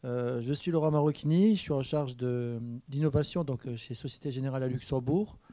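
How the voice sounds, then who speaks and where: A man from France speaking on a conference panel, relaxed and joking, with many fillers. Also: mid-range voice, fairly quick, no room sound. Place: university conference room. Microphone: handheld mic.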